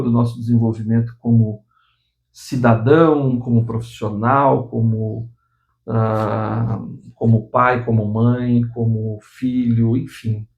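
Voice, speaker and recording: Earnest gravelly man in his sixties. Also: mid-range voice, a little mellow. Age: 60s. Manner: earnest, many restarts and tag questions. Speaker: channel host. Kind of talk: motivational talk to camera.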